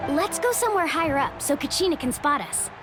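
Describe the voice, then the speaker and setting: A woman's voice: high-pitched, animated, fairly quick, over music. A woman voicing a spirited young woman in a game, friendly and guiding, fierce when her friends are insulted. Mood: cheerful. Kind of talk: game voice acting.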